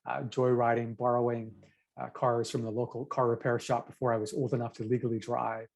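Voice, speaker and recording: A middle-aged man from Japan, a pastor and author, answers interview questions. He tells his story in a measured, reflective way. His voice sits at an ordinary middle pitch. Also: level tone, gravelly, a little mellow.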